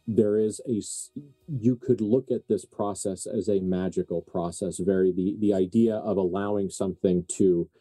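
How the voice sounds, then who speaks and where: A man running a tabletop horror game as game master, improvising narration in deliberate, halting, dramatic phrases with music playing behind him. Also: low voice, warm tone.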